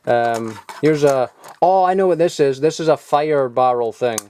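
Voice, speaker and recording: Young adult male, mid-pitched, loose offhand show-and-tell delivery, faint hiss behind. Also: normal speed, darkish tone. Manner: rambling, offhand, chuckles at himself. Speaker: toy collector vlogger. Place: home loft.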